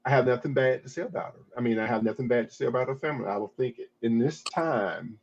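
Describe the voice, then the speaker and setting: A husky man in his fifties talks things through on a casual podcast. He rambles and restarts his sentences, leaning on "I mean" as he goes. The audio sounds dull and lo-fi. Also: medium pitch, fast. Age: 50s.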